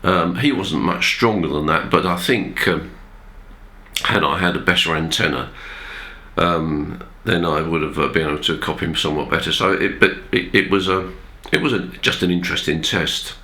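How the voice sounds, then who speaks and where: A man presents an informal explainer for radio hobbyists. His voice sits fairly low but has a bright, forward edge, made nasal by a cold. He talks conversationally and hesitantly, as if chatting to his viewers. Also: normal speed. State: has a cold, throat-clearing.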